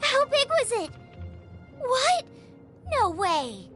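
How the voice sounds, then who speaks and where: A woman's voice: very high-pitched, huge pitch swings, very slow, heavily acted. A woman voicing a cheerful, eager teenage girl chef in a video game, chatty and enthusiastic. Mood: perky, eager. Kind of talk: video game character voice lines. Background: music.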